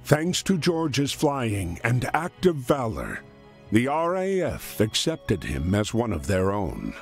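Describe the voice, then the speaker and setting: A man's voice: mid-range voice, huge pitch swings, takes it slowly. A man giving solemn, scripted storybook narration for a war story game. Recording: music behind the voice. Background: music.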